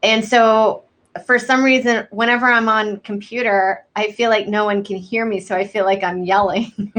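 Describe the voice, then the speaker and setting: A young American woman from Houston, a comedian chatting casually on a video call, soft-spoken and lively with lots of fillers. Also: mid-range voice, normal speed. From Houston, United States. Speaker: comedian guest. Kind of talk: casual chat.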